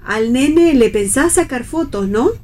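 A woman's voice: high voice, animated, measured pace, darkish tone. An actress playing a fussy, anxious middle-aged mother in a radio play, with an Argentine accent and a hesitant, solicitous manner. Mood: fussing, insistent. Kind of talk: radio theatre play reading.

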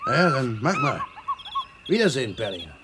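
A man's voice: medium pitch, wildly swooping pitch, brisk. A man playing a jaded, sardonic tour guide in a radio play, with an offhand, grumbling manner. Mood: weary, sardonic. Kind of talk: naturalistic radio play acting. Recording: very noisy outdoor ambience with birds.

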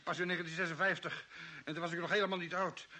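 An actor playing a desperate, indignant old man stranded on Mars in an older Dutch radio play, with a husky, creaky, pleading delivery. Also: fairly high pitch, steady tone, quick pace, bright, forceful tone. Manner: pleading, agitated.

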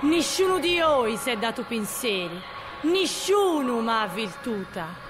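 A woman's voice: a little high, big pitch swings, very noisy. A woman playing a grieving, bitter mother and widow in an audio drama, lamenting with a Gallurese accent from northern Sardinia. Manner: lamenting, drawn-out, emotive.

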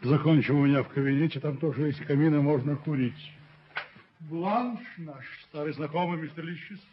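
An actor playing a firm, self-justifying rich father and landlord in a radio play, speaking in a measured, lecturing way. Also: a little high, noisy background, lightly acted. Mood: businesslike. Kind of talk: Soviet radio play acting. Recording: dull 1970s radio drama recording.

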